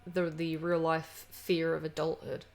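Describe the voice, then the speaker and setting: A woman's voice: sits low, completely flat delivery, a little slow. A woman co-hosting a game stream, mixing casual, sweary banter with reading game text aloud. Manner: irreverent, sweary.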